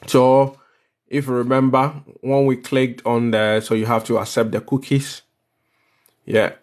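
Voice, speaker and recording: A man with a Ghanaian accent hosting a live step-by-step tutorial, instructive and repeating phrases for emphasis. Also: mid-range voice. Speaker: livestream host explaining visa applications.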